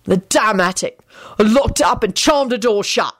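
A woman voicing a cursed, foul-mouthed man in a story, shouting with defiant rage. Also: fairly high pitch, wildly swooping pitch, slow, no echo, studio quality, pitched above the performer's own voice, full character voice. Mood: furious.

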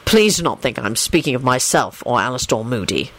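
A woman voicing a calm, dignified male law officer with a polite, measured, dry manner in a story reading. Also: rumbling low, voice pushed down, full character voice. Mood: serene.

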